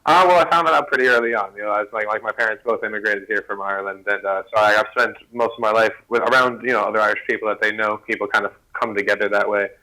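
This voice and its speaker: A young man speaks as a guest over a video call, quick and earnest. His voice is low-pitched but bright and forceful, and the words come fast and keep tumbling on. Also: steady tone, rough recording. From United States.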